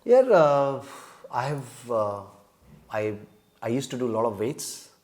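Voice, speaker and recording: A man's voice: mid-range voice, lively intonation, measured pace, darkish tone. A man, a guest telling rambling jokes in a casual chat and laughing often. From India. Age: 30s. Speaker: podcast guest.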